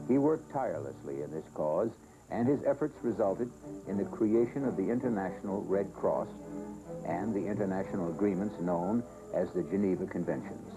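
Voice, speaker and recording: An American man reading scripted training film narration in a formal, measured, solemn manner. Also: low voice, poor audio. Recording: 1970s film soundtrack with music. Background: background music.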